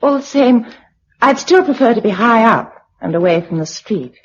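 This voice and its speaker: A woman's voice: a little high, expressive, moderate pace, husky. An actress playing a young British woman surviving a disaster in a radio drama, polite, well-spoken and questioning. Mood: tentative, practical. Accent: British English. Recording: dull lo-fi radio recording.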